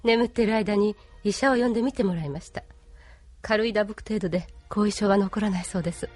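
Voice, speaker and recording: 女性声優がアニメのオーディオドラマで、礼儀正しく忠実な軍の女性部下を演じている。. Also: female voice, medium pitch, brisk, a little mellow, soft background music, lightly acted. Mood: polite, composed. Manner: courteous, formal. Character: courteous, dutiful female military subordinate. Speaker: voice actor. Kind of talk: anime audio drama acting.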